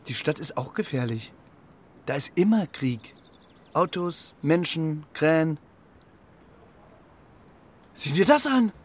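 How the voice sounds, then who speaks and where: Cocky, scrappy street pigeon. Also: male voice, a little high, lively intonation, slow, long pauses. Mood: cocky, excited. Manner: swaggering, clipped, colloquial. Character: cocky scrappy male street pigeon, boastful and gruff-hearted. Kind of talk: children's radio play acting.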